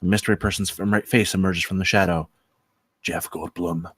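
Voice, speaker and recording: A man's voice: low-pitched, steady tone, brisk, a bit bright, dry recording, clean studio sound. A man reading stage directions as scripted narration at a comedy screenplay table read.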